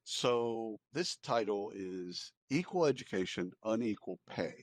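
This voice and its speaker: A man's voice: fairly low pitch, lively intonation, measured pace, no room sound. An American man co-hosting a podcast, discussing news in a casual, opinionated, informal way and repeating phrases for emphasis. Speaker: podcast co-host.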